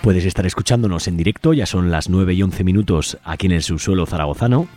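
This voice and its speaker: A man's voice: low-pitched, quick pace, mellow. A man with an accent from Spain hosting a live community radio show in an informal, chatty way. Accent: Spanish from Spain. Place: radio studio. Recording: music playing under the voice. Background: music.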